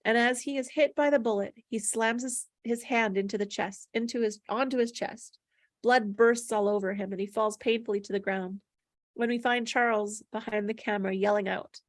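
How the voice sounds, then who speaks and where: A woman's voice: on the high side, steady tone, moderate pace, dry recording, clean studio sound. A woman reading stage directions aloud at a table read, plainly descriptive, with occasional stumbles over the words.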